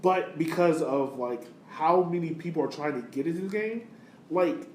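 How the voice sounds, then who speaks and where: A man with a slightly high-pitched voice co-hosts a sports podcast in a casual, conversational way. The recording is clearly roomy and echoey, with some light noise in the background. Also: normal speed, darkish tone. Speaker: podcast host.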